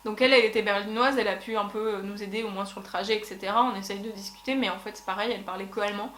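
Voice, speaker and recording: A woman's voice: mid-range voice, fairly even intonation, racing, fairly bright tone. A young woman vlogger telling a travel story in a casual chat, with many fillers and restarts. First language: French. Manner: chatty, lots of fillers and restarts. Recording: echoey room.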